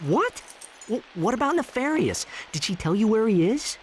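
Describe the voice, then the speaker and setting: Youthful, very animated game hero. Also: male voice, high voice, normal speed, slight background noise. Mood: surprised, concerned. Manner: casual, expressive.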